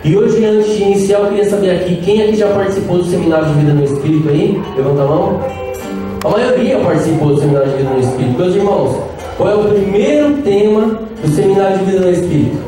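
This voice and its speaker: A Brazilian lay preacher in his thirties delivers a lively sermon, joking with the crowd and calling out for their response. His voice sits high and comes from a distance. A big echo rings through the hall, with music playing behind him. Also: fast. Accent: Brazilian Portuguese. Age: 30s. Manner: lively, playful, calls out to the crowd. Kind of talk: live charismatic sermon. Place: large echoey prayer-group hall, live event.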